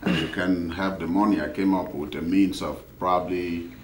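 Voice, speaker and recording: A very deep-voiced man from Cameroon speaks in a measured way, pausing often with fillers. He sounds set back from the mic in an echoey room, with faint background noise. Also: steady tone, far from the mic. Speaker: poet and author. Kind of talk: panel discussion answer.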